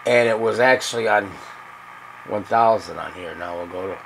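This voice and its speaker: A middle-aged man giving a casual, halting, folksy hands-on demonstration, thinking aloud at a workbench. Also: lowish voice, gravelly, low-quality recording. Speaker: radio restorer demonstrating a repair. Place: cluttered indoor electronics workbench. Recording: loud background noise and radio hum.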